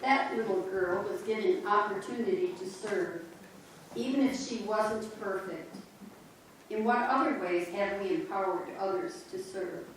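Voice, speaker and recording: A woman performing reader's theater, reading scripted lines slowly and deliberately in a church. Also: medium pitch, a little hiss or hum. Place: church sanctuary. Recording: echoey church from far off.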